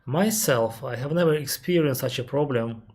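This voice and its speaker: A man with a Russian accent, an airline captain on a live stream, reading out viewer questions and answering them carefully, often pausing with "uh" and restarting his sentences. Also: mid-range voice, a little mellow, breathy edge. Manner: careful, explanatory, pauses with frequent "uh" and restarts; reads viewer questions aloud, then answers at length.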